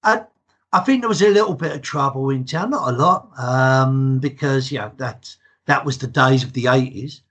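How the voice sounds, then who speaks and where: A man's voice: medium pitch, moderate pace, fairly bright tone, husky. An older English man in his sixties, a retired podcast guest telling his stories in a chatty, matter-of-fact way. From England. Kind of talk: casual interview chat.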